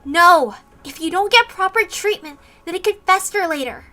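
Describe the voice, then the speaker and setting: A woman's voice: very high voice, lively intonation, normal speed, sharp tone, soft background music, voice pushed up, heavily acted. A woman voicing anxious young characters from an anime visual novel in urgent, earnest dialogue. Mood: worried, urgent.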